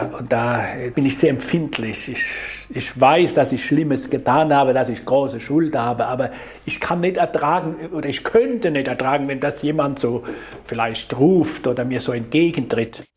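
A man in his sixties from the Palatinate, giving reflective interview testimony in a halting, earnest way. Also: mid-range voice, breathy edge. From Palatinate, Germany. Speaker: interviewee, former gang leader.

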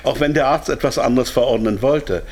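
An actor playing a smug pharmaceutical executive held captive, explaining himself in a German radio drama. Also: male voice, medium pitch, fairly quick, a little mellow, close to an everyday voice. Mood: smug, explanatory. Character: captive male pharma marketing executive, smugly explaining, easily rattled.

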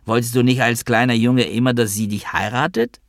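A man's voice: lowish voice, moderate pace, dry recording, studio quality. A man voicing a stern, mocking adult sister who is defiant and needling, in a literary audiobook story. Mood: indignant.

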